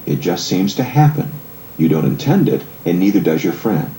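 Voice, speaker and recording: A man with a deep, mellow voice narrates an audiobook from a script. His delivery is measured and articulate, explaining each point clearly. The recording has a roomy echo around the voice. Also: sing-song, slight background noise.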